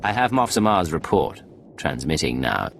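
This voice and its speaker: An actor playing a composed, guarded male spy in a video game, with gravelly, clipped, deadpan delivery. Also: a bass voice, normal speed, over music, heavily acted. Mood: guarded, businesslike. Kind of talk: video game cutscene acting.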